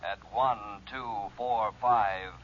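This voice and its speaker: An actor playing an impassive police dispatcher, a man, official and measured, in an old radio drama. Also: fairly low pitch, fairly even intonation. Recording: muffled, faintly crackly old radio.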